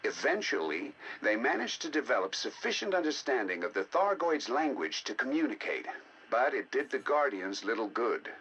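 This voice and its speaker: An actor playing a scholarly, intrigued scientist who narrates scripted game audio logs in a deliberate, theatrical, musing way. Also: male voice, medium pitch, big pitch swings, fairly bright tone, muffled recording, slight background noise. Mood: grave, analytical. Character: scholarly male scientist, intrigued by ancient alien data. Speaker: researcher narrating decoded alien history logs.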